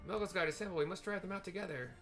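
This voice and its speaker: A man voicing a small, excitable moogle guard from a fantasy game in a theatrical, exclamatory way. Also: high voice, rapid-fire, soft background music, voice pushed up. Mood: rallying.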